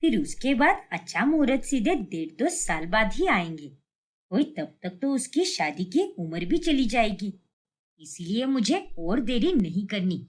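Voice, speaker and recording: Casting: female performer as bossy, scolding middle-aged mother-in-law for a cartoon family tale, animated and sharp. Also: medium pitch, unhurried, pitched below the performer's own voice. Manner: sharp, nagging, indignant. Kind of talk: cartoon family drama story.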